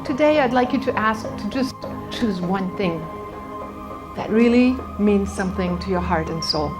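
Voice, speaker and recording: A woman's voice: medium pitch, normal speed, over music. A woman teaching a motivational seminar, telling stories and addressing her listeners directly as she guides them. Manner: animated storytelling, addressing the audience directly. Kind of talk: motivational workshop talk with anecdotes and guided exercise.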